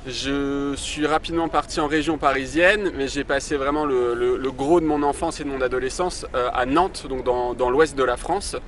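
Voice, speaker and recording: A man's voice: medium pitch, moderate pace, hardly any pauses, crowd noise. A man in his twenties answering interview questions outdoors, hesitant and full of fillers and restarts. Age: 20s. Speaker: interview guest, entrepreneur. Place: outdoors by the sea in a city.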